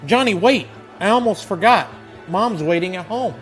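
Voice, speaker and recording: Radio drama: man as upbeat, breezy brother, high-pitched male voice, cheerful pilot type. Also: lively intonation, normal speed, soft background music. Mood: eager.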